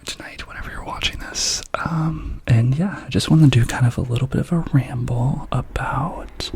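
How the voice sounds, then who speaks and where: A young man from Massachusetts, whispering a rambling ASMR chat as the host of his channel, with many fillers and repeated phrases. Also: medium pitch.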